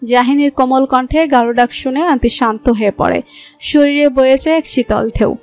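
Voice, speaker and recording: A woman narrating a romantic story in a smooth, even storytelling voice. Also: sits high, moderate pace. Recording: soft background music.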